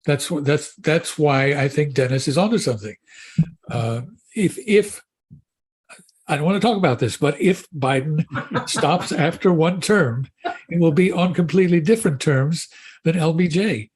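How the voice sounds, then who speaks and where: Husky man, halting panel talk. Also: a little high, a little mellow. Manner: halting, restarts phrases. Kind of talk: casual panel discussion.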